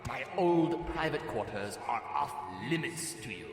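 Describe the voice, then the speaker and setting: A man playing an imperious ancient sorcerer bound into a crystal in a video game, speaking formally with deliberate command. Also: mid-range voice, lively intonation. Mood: imperious, inviting. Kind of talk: video game character dialogue. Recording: echoey long reverb, music behind.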